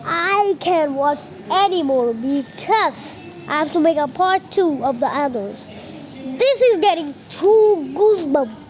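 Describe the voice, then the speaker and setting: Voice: high voice, lively intonation, slow. A child giving a casual, slangy and exclamatory reaction commentary on a horror video. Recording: noisy home recording.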